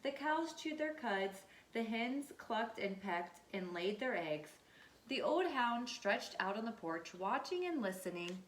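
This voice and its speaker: A woman's voice: on the high side, sing-song, measured pace, a little hiss or hum. A woman teacher reading a children's storybook aloud and checking in with her listeners in an instructive way. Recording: echoey room.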